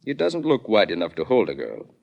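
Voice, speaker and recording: An older stage-magic craftsman in a 1940s radio play. He explains formally in a low, widely swinging voice, measured and matter-of-fact. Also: huge pitch swings, creaky, dull audio, exaggerated voice. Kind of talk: 1940s radio drama acting.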